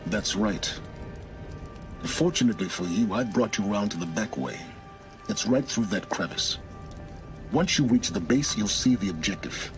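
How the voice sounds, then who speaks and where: A low male actor's voice over clear music, as a cryptic middle-aged informant in a video game. He is imperious and measured, a schemer who gives orders and keeps secrets. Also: lively intonation, natural voice. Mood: measured, scheming. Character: secretive, commanding male informant serving his own interests. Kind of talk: dramatic video game acting. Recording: music behind the voice.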